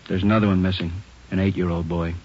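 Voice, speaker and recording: A man playing a brisk police officer reporting findings in a 1940s radio drama, in a factual manner. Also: sits low, level tone, close to an everyday voice. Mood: urgent, factual. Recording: muffled, hissy old 1940s radio recording.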